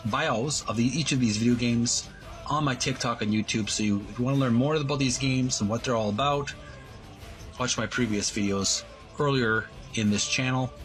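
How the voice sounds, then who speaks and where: A man hosting a video channel, reading aloud and commenting in a halting way, often repeating and correcting his phrases. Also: medium pitch, a bit bright. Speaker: host of a retro video game channel. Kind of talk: read-aloud book walkthrough with commentary.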